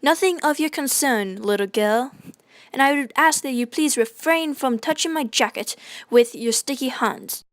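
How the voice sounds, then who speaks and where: A woman voicing a pompous, scornful gentleman explorer in a radio drama, with haughty, formal diction. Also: sits high, moderate pace, no echo, studio quality, close to an everyday voice. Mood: indignant.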